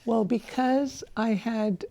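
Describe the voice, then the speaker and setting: An older woman, a religious sister born in El Salvador and raised in San Francisco, recalling her past in an oral history interview, speaking thoughtfully and pausing with fillers and restarts. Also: a little high, steady tone, warm tone.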